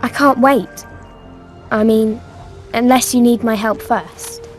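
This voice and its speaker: Bold lil girl adventurer, highish eager voice, game cutscene, faint music. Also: steady tone, takes it slowly. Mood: excited. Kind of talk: video game cutscene acting.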